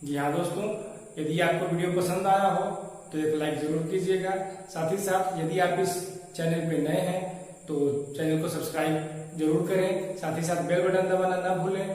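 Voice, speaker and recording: A man's voice: sits high, steady tone, normal speed, a little mellow. A man hosting an online lesson, addressing viewers in a scripted style, with a very echoey, reverberant sound.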